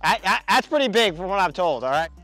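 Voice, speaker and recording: Man fishing outdoors, cocky joking, fast and bouncy, music behind. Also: high voice, sing-song, pushed and bright. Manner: teasing, cocky. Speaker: angler competitor. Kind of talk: casual banter. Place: outdoors by a pond.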